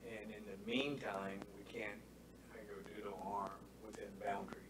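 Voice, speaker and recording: Committee member, adult man, low monotone, voice going hoarse, earnest, faint room hum. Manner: earnest, measured, repeats key phrases. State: losing his voice. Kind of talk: public meeting discussion. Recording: faint room noise, meeting hall.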